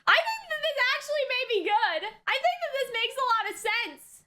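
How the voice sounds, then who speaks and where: Very high pitch, bright forceful tone, woman, reaction host, expressive, slangy, casual commentary. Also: normal speed. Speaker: host of an anime reaction channel.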